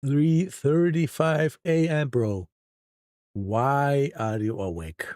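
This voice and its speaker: A man's voice: medium pitch, slow, darkish tone, no echo, clean studio sound. A man from Iceland with an Icelandic accent, a native Icelandic speaker, chatting casually on a live stream, reading out and greeting viewers one by one. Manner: reads chat aloud and greets viewers one by one.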